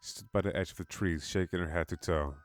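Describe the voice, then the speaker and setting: A man's voice: sits low, fast talker, no room sound. A man giving a dramatic scripted narration of a horror story. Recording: music behind the voice.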